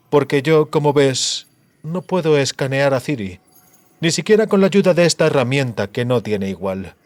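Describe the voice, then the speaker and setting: Cruel male sorcerer, acted by a man, menacing, coldly gloating, theatrical, measured, fantasy audiobook villain, dry sound. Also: medium pitch, dry recording, close to an everyday voice.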